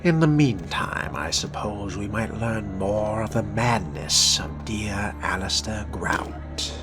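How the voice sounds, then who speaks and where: Guy doing a low slow sardonic vampire, weary and haughty, muttering to himself like game roleplay, often whispery, music in back. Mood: dry, musing. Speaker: game player role-playing the protagonist. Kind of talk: in-character video game role-play commentary. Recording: music behind the voice.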